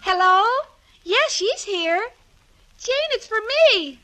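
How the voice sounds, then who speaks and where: Super high bright ditzy young woman, sweet and clueless, old 1940s radio, bit of hiss. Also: lively intonation, slow pace, exaggerated voice. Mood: naive, earnest. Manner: naive, earnest, literal-minded. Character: sweet, dim-witted, scatterbrained chirpy young woman. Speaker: comic lead of radio sitcom. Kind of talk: 1940s radio comedy acting. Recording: faintly noisy 1940s radio recording.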